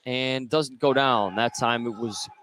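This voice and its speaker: A man's voice: medium pitch, fairly even intonation, unhurried, fairly bright tone. Man calling live basketball over background noise. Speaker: play-by-play commentator. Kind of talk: live high school basketball radio commentary. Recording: noisy gym ambience behind the voice.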